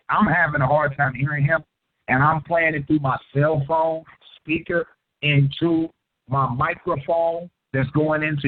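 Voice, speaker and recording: A man's voice: fairly high pitch, no echo. A man hosting a call-in show, talking bluntly and confrontationally, swearing often and pressing callers with pointed questions. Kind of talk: combative call-in talk. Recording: tinny phone-line audio.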